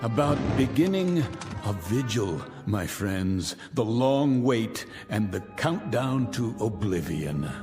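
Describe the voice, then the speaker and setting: An actor playing an arrogant, vengeful millionaire in a radio drama, speaking in a theatrical, pompous, taunting and articulate way. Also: male voice, fairly low pitch, big pitch swings, slow, a little mellow. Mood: smug, deliberate. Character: vengeful, arrogant millionaire man holding old enemies captive. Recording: reverberant radio drama with music underneath.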